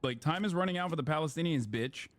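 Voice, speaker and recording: A man's voice: fairly high pitch, animated, fast, no echo. A man, a streamer giving blunt, profane, mocking reaction commentary. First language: Turkish.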